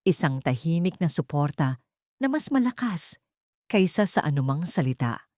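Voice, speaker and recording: A woman's voice: deep voice, darkish tone, no room sound, clean studio sound. A woman with a Filipino accent giving a dramatic scripted narration as an audiobook narrator.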